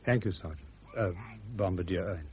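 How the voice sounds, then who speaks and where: An actor playing a weary, kindly army officer in disgrace, in a mid-century British radio drama. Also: male voice, sits low, brisk, darkish tone, lightly acted. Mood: weary, restrained. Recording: dull old radio recording.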